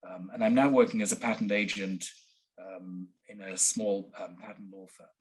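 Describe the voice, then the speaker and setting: A man answers questions on a podcast in a husky, low voice. He talks casually, stopping often on ums and restarts. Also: steady tone, moderate pace, low-quality recording. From Switzerland, raised in central England. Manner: hesitant, many ums. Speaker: guest, patent agent. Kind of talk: casual interview talk.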